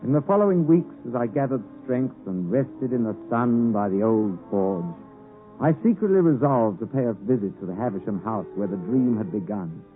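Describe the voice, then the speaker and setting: An actor playing an earnest, passionate young English gentleman who narrates his story in a theatrical 1950s radio drama style. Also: fairly low pitch, lively intonation, normal speed, lightly acted. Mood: haunted, reflective. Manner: theatrical, emotive. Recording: vintage 1950s radio, tinny, music behind.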